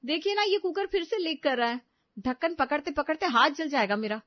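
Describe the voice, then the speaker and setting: A woman's voice: high voice, animated, fairly quick, dry recording, highs cut off, pitched above the performer's own voice. A woman voicing a patient, burdened young housewife and mother in a story. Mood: complaining. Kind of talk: dramatised dialogue in an audio story.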